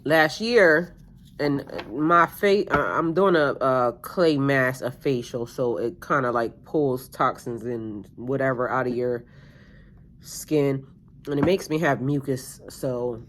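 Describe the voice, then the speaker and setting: A woman's voice: rumbling low, takes it slowly. A middle-aged woman chats to camera as a tarot reader, drifting from thought to thought with plenty of ums and restarts. Her nose sounds a bit stuffy. She sits at home with a faint hiss behind her. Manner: meandering, with many fillers and restarts. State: slightly stuffy-nosed. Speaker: tarot reader and spiritual coach. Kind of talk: casual rambling monologue to camera.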